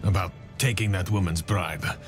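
An actor playing a weary, rough-edged soldier in a video game cutscene, blunt and plainspoken. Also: male voice, low voice, moderate pace, music in the background, natural voice. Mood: uneasy.

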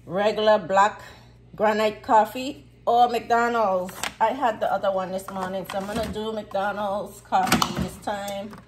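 A woman's voice: medium pitch, steady tone, unhurried, noisy background. A middle-aged woman with a US Virgin Islands Caribbean accent, chatting casually to camera as a vlogger, emphatic and given to repeating her phrases. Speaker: vlogger, host. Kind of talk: casual vlog chat to camera. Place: home kitchen, indoors.

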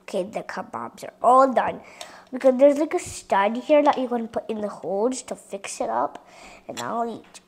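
A female voice giving a chatty tour of a toy dollhouse playset, addressing viewers directly and sometimes dropping into soft whispers. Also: on the high side, big pitch swings, normal speed, creaky, husky. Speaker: toy reviewer.

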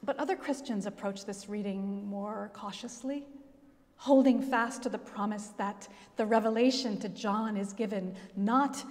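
A middle-aged woman pastor preaching a sermon at a pulpit, telling the story expressively with dramatic pauses. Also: medium pitch, darkish tone. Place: large church, at a pulpit. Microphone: gooseneck pulpit mic. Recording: long church reverb.

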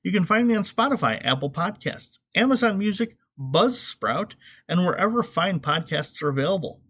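A man's voice: sits high, animated, normal speed, a bit bright, slightly rough. A man hosting a podcast, giving comedic, opinionated commentary in a sarcastic way with emphatic asides. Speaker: podcast host.

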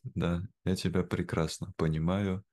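A young man speaking in a measured, careful way, as a guest in a slow conversation for language learners. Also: sits low, level tone, darkish tone. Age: young adult. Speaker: interview guest. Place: indoor room at home, video call. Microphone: video call, wearing headphones.

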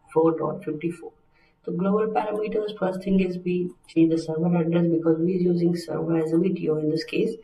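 A man gives a step-by-step software tutorial in a mellow, relaxed voice. His phrasing is halting and instructional. The recording is roomy, with the microphone some distance away. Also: a little high. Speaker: technical instructor.

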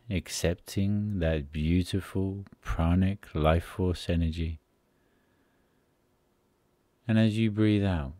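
A deep-voiced man guides a relaxation meditation at an extremely slow pace. His delivery is soothing and measured, with short phrases set apart by pauses. The tone is dark and mellow. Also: no echo. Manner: soothing, unhurried, pausing between short phrases.

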